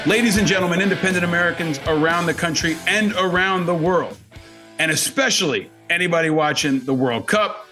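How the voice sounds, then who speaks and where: A man's voice: fairly high pitch, fairly quick, music in the background. A man hosting a podcast interview in an informal, effusive way, with casual language. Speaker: podcast host.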